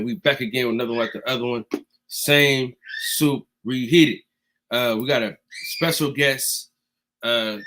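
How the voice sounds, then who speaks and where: A man hosting a podcast, opening with loud hyped greetings and talking in a loose, slangy way. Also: mid-range voice. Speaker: podcast host. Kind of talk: casual podcast chat.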